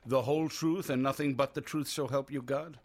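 An actor playing a gruff, skeptical 1920s district attorney, brusque and official, in an old-time radio play. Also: male voice, medium pitch, fairly even intonation, fairly quick, lightly acted. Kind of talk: 1930s radio acting.

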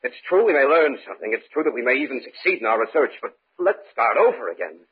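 An actor playing an uneasy, earnest research scientist in old-time radio horror style. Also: male voice, medium pitch, sing-song, quick pace, slightly rough. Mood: earnest, urgent. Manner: earnest, urgent pleading. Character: Emery, uneasy male research scientist keeping a diary. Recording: muffled noisy vintage radio recording.

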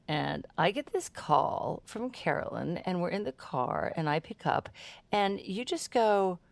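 A chatty woman podcast host with a low, gravelly voice that swings widely. She talks in emphatic, casual banter for an audio drama, recorded close and dry. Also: lively intonation, a little slow, no room sound. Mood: amused.

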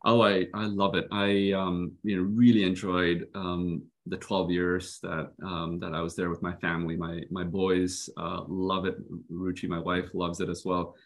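A man in his fifties from Nepal, raised in Southeast Asia, a physician and health executive guest telling his story in an earnest, unhurried way, laughing easily. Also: deep voice, flat delivery. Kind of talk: conversational interview storytelling.

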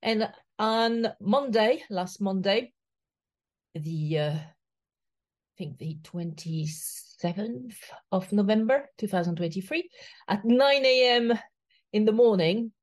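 A woman's voice: mid-range voice, expressive, slow, a little mellow. A woman hosting her podcast in casual interview style, speaking hesitantly with many fillers and restarts. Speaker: podcast host. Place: home in London.

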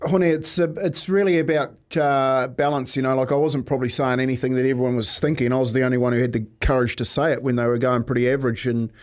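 A man's voice: mid-range voice, fairly even intonation, quick pace, hardly any pauses. A man giving casual banter and analysis as a sports radio pundit.

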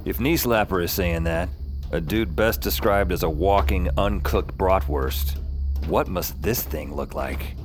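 An actor playing a weary, sardonic hero who narrates his dark supernatural trip in a wry, deadpan way. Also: low-pitched, measured pace. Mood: wry dread. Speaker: lead character and narrator. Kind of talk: noir-tinged first-person audio drama narration. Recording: voice under loud music.

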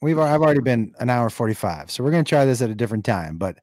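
Playful male host on a video call, animated pitch, dry close sound. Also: quick pace, dry recording. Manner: playful, self-deprecating. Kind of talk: casual video-call chat.

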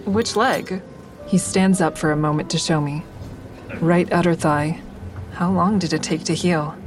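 A woman's voice: fairly low pitch, fairly even intonation, measured pace, over music, lightly acted. A woman playing an awkward, smitten survivor who narrates her own story in an audio drama, confiding and self-deprecating. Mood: guarded, confiding.

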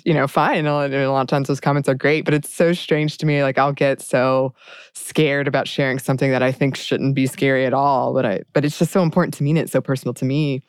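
Low, smooth-voiced woman podcast host. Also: dry recording, studio quality. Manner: earnest, reflective, halting with restarts. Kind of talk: candid conversational podcast chat.

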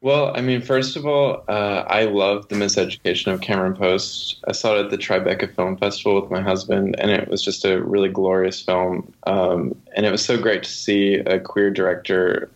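A man speaking as a guest author in an interview, answering conversationally in a thoughtful way with hesitant pauses. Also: low voice, fairly even intonation. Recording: dull lo-fi sound.

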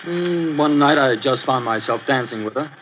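An actor playing an earnest young boxer who talks in a plain, direct way, in a 1940s radio drama. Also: male voice, medium pitch, moderate pace, close to an everyday voice. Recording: hissy lo-fi old radio recording.

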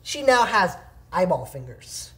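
A man's voice: high voice, big pitch swings, measured pace, pushed and bright. A man in casual banter with friends, building a wild comic story with mock-serious relish. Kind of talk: casual banter, improvising stories.

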